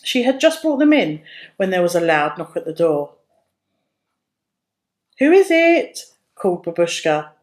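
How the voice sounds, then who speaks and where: A woman reading a children's storybook aloud as narrator, in a careful, expressive way. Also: fairly low pitch, fairly quick.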